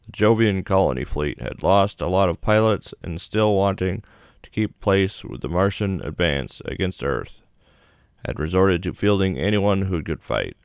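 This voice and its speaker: Very deep-voiced man narrating a game. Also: animated, moderate pace, clear, resonant tone, no room sound, clean studio sound. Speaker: gaming video host. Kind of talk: reading visual novel narration aloud.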